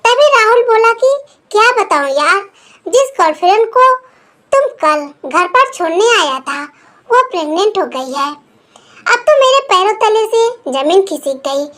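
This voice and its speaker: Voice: very high-pitched, very animated, unhurried, bright, forceful tone. A narrator reading a scripted first-person story, delivering the dialogue as part of the telling.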